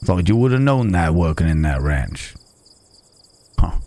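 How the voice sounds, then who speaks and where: A man playing a low, unhurried cowboy drifter. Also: sing-song, mellow. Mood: exasperated, concerned, then gentle, fond. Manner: folksy, rambling, murmured asides to horse and companion. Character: gruff, wry, caring cowboy stranger, adult man. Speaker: ASMR roleplay voice actor. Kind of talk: ASMR Wild West roleplay.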